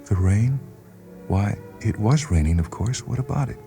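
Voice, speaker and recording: A man voicing a wounded, pleading wife who holds herself steady, soft and imploring, in a radio story reading. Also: fairly low pitch, normal speed, gravelly, faint music behind, voice pushed up. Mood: puzzled, evasive.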